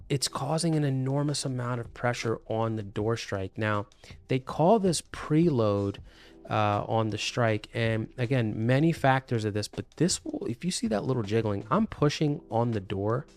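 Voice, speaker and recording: A man presenting a casual explainer video as an installer, talking in a conversational, explanatory way and repeating points for emphasis. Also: lowish voice. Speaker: presenter, intercom and door hardware installer.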